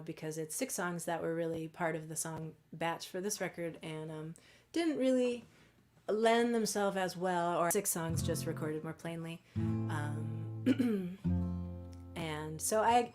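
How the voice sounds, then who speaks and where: A woman talking casually at home between songs of a live-streamed concert, softly and rambling, with many fillers, her voice going hoarse. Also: lowish voice. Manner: soft, rambling and self-deprecating, with many fillers. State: losing her voice. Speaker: singer-songwriter performing a home concert. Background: guitar.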